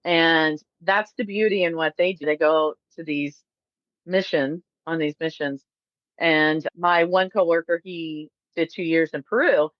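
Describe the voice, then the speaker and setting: A woman's voice: deep voice, steady tone, measured pace, no echo, lo-fi sound. A woman hosting a podcast, asking questions and answering with frequent short yeahs. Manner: affirms often with short yeahs, asks questions. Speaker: podcast host. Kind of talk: casual chat.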